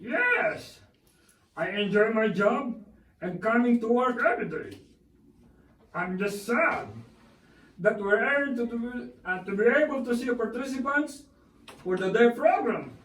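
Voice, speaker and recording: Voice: medium pitch, lively intonation, a little slow, long pauses, fairly bright tone. A man voicing a polite, cheerful monkey puppet as a guest on a scripted puppet show, reading his answers carefully. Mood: polite, earnest. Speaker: interviewed guest who works in a day program. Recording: echoey room, far from mic.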